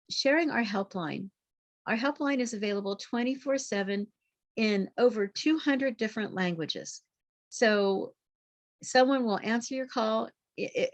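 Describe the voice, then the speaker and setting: A woman's voice: fairly high pitch, no room sound. A woman giving an informational presentation as a nonprofit representative on an online call. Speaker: nonprofit association representative, guest speaker.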